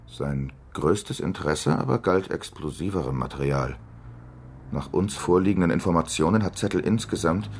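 An actor in a radio drama playing a male reporter who reads out a sober, measured, declamatory exposé. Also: low-pitched, husky, full character voice. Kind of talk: scripted narration in a radio drama.

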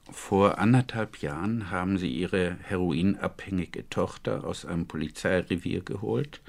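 A man interviewing for a radio documentary in a formal, deliberate, measured way. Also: deep voice, vocal fry.

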